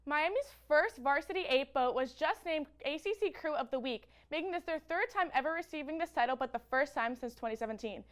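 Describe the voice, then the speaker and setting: Young woman with high voice, sports news. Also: expressive, a little fast, hardly any pauses. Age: young adult. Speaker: student sports co-anchor. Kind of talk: scripted sports roundup.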